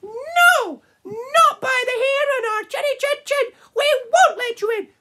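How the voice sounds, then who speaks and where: A storyteller voicing two small, frightened pigs in a children's story, in a very high, squeaky, frantic voice. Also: expressive, a little slow, sharp tone, heavily acted. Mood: defiant.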